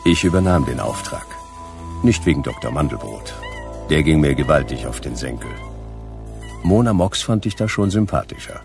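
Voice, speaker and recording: A man's voice: very deep voice, wildly swooping pitch, moderate pace, vocal fry, music in the background, heavily acted. A man playing a laconic, sardonic private detective in a noir radio play. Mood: wry narration. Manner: laconic, sardonic, clipped sentences. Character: hard-boiled, dry, wry male private detective.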